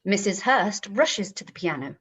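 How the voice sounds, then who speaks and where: A woman's voice: medium pitch, sing-song, moderate pace, fairly bright tone, breathy edge. A woman narrating stage directions for a radio play in a scripted, present-tense style.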